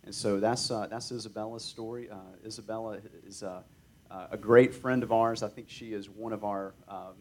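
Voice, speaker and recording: Man with a low voice introducing a guest, halting, lots of fillers, faint rumble. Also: steady tone, darkish tone. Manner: hesitant, many fillers. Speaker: ministry representative introducing a guest. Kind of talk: introductory remarks.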